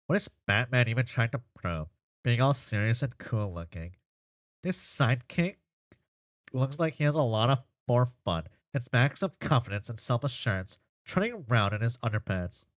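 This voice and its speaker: A man's voice: mid-range voice, very animated, normal speed, dry recording, clean studio sound, close to an everyday voice. Man playing a goofy, earnest, chatty young guy. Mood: opinionated, playful. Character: earnest, goofy, chatty boy full of silly opinions.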